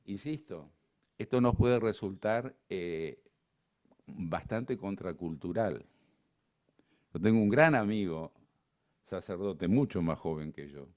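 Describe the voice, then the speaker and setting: An older man with an Argentine accent and a low, deep voice, giving a lecture. He talks in a loose, conversational way, drifts into asides, and fills the gaps with little hesitations. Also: a little mellow. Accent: Argentine Spanish, not Buenos Aires. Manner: digressive, conversational, with frequent fillers. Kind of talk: conference lecture.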